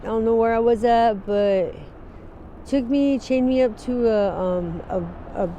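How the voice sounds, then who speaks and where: A woman in her forties from Wyoming, telling her story in a halting, rambling way during an outdoor street interview. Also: a little high, dark tone, slight background noise. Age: 40s. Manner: rambling, halting, laughs easily.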